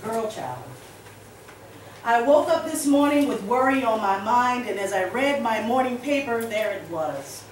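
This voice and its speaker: A woman giving a dramatic spoken-word reading, expressive, deliberate and emphatic, with pauses for weight. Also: on the high side. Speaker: poet reading to a workshop audience. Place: roomy indoor space, recorded at a distance. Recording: echoey room from far off, background noise.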